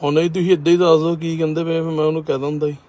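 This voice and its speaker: A man's voice: fairly high pitch, little pitch movement, very fast, warm tone. A young man from Punjab, speaking in an amateur recording. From Punjab, India. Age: young adult. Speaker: complainant. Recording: roomy echo, noisy amateur recording.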